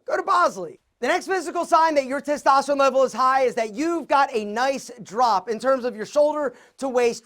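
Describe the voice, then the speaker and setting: Upbeat man with a high-pitched voice. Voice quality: self-described somewhat high voice. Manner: energetic, joking, addresses viewers as guys and gentlemen. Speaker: men's style and grooming video host. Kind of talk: direct-to-camera advice vlog.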